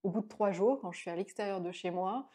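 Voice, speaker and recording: A woman's voice: mid-range voice, fast, dark tone, breathy edge. A woman in her late thirties reviewing books to camera at home, chatty, with many fillers and restarts, on a lapel mic. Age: 30s. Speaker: book vlogger. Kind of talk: casual book review to camera. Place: small indoor room at home.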